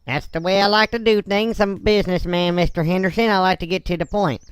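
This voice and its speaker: A man's voice: sits high, fairly even intonation, smooth, no room sound, lightly acted. A man voicing a folksy, blunt but courteous businessman and father in a game roleplay. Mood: businesslike, courteous. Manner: folksy, blunt, drawn-out hesitations. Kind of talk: Minecraft roleplay voice acting.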